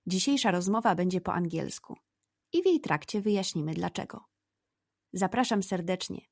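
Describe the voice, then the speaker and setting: A woman's voice: on the low side, a little mellow, no echo, clean studio sound. A woman hosting a podcast, a native Polish speaker, asking friendly questions. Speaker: podcast host. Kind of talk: podcast intro and interview.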